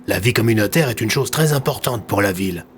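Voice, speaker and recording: A man's voice: lowish voice, expressive, a little fast, slight background noise, close to an everyday voice. A man playing a firm police commissioner. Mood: authoritative. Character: firm, courteous senior male police commissioner. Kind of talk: audio drama acting.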